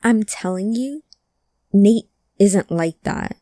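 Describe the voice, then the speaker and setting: Woman playing a slow, mellow, wounded loyal friend. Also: mid-range voice, no room sound. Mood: insistent, hurt. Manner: halting, emotional, emphatic. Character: guarded, grieving woman loyal to her missing childhood friend. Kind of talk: cyberpunk audio drama acting.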